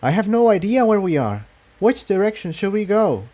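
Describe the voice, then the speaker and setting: Man acting young female pony, high raised voice, anxious, earnest, tender, storybook adventure character. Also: sing-song, normal speed, warm tone. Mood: concerned. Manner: earnest, uneasy, questioning.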